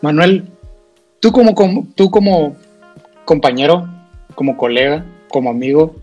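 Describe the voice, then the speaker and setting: A man with a Guatemalan accent hosting a conversational interview, feeling his way haltingly through questions and repeating words. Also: on the high side, a little mellow, muffled recording, faint music behind. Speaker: host, nutritionist.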